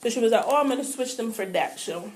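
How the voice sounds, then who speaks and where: Co-host, young woman, New York accent, higher voice, loose slangy delivery. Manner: relaxed, slangy, quick agreeing. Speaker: podcast co-host. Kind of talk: casual chat.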